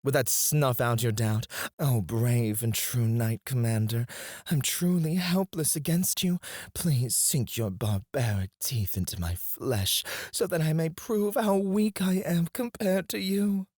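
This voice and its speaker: A man's voice: medium pitch, a little slow, darkish tone, no room sound, studio quality, lightly acted. A man playing a cocky, flirty royal alchemist in an intimate fantasy ASMR roleplay, teasing and goading a rival with sardonic mock politeness. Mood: taunting, daring, then mock pleading.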